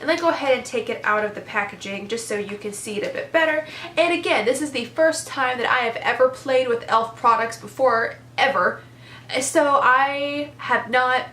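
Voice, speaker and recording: A young woman with a congested voice chats eagerly through a makeup review, piling on "super" and "very." Her voice sits a little high. The room has a noticeable echo. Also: pushed and bright. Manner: chatty, enthusiastic, lots of "super" and "very". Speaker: beauty vlogger. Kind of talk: casual product review chat.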